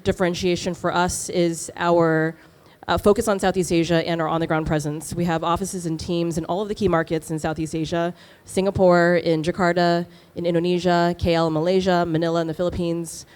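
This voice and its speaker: Woman from Taiwan, fund partner, low-ish voice, fluent stage pitch with fillers. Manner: warm, fluent, frequent "um" fillers. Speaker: venture capital partner. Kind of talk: conference pitch presentation. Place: conference stage.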